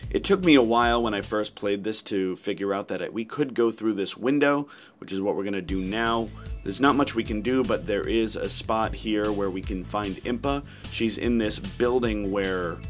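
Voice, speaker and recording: Low-voiced man commenting on a game. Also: normal speed, faint music behind. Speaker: gaming channel host. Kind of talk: casual playthrough commentary.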